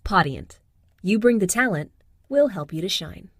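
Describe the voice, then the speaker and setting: A woman's voice: medium pitch, expressive, normal speed, no echo. A woman announcer reading a scripted promotional voice-over, close on the microphone. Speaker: advert announcer.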